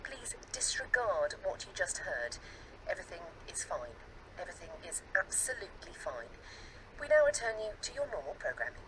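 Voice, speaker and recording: A woman's voice: on the low side, big pitch swings, normal speed. A woman playing an official radio continuity announcer who apologises reassuringly, over background noise. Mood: falsely reassuring.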